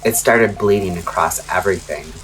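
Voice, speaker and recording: A man hosting a late-night radio horror show, narrating a scripted story in a measured, ominous way with dramatic pauses. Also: sits low, fairly bright tone, a little hiss or hum, poor audio. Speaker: radio-style host and storyteller.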